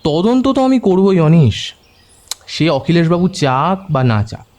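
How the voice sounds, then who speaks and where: Male actor for decisive detective in audio thriller; slightly high voice, brusque, probing delivery. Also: very animated, a little slow, a little mellow. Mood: resolute. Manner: firm, questioning, brusque. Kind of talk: Bengali audio drama acting.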